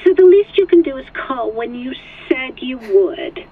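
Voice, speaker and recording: A wealthy, controlling middle-aged mother speaks over a thin, noisy phone line. Her voice sits fairly high and moves slowly, every phrase a curt, needling command from an audio drama. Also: very animated, breathy edge, exaggerated voice. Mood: cold reproach. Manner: domineering, deliberate, curt commands. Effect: phone call filter. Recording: muffled phone line with background noise.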